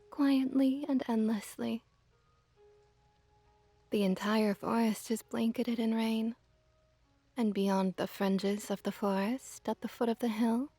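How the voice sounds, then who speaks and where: A woman playing a doting, gently dominant kitsune witch in an ASMR roleplay, softly cooing and teasing, sometimes in a breathy whisper. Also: fairly high pitch, dry recording. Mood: gentle, possessive. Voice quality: soft, breathy whispering at times. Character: seductive, doting, possessive female kitsune fox witch. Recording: background music behind close, dry voice.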